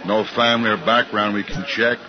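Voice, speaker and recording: An actor playing a tough, breezy police detective who talks in slang in a 1950s radio drama. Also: male voice, lowish voice, steady tone, fairly quick, crowd noise, lightly acted. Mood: businesslike, tough. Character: brisk, slangy male police detective giving orders. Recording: dull vintage radio recording with music.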